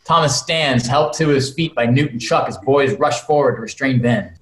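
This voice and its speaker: A man's voice: mid-range voice, moderate pace. Echoey male narrator reading stage directions. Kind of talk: scripted narration at a table read. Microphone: video call. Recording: echoey room over video call.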